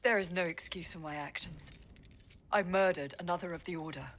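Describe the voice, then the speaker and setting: A woman's voice: fairly low pitch, brisk, a bit bright, a little hiss or hum, lightly acted. A woman voicing a remorseful female warrior. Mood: grave. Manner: grave, self-condemning. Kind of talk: video game voice acting.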